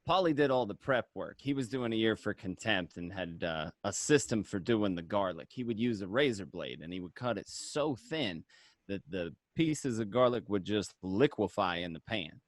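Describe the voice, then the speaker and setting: A man's voice: lowish voice, animated, moderate pace, no echo, studio quality, lightly acted. A man playing a wry, matter-of-fact mobster who narrates his prison memories conversationally in a dramatic script reading. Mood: wry reminiscing.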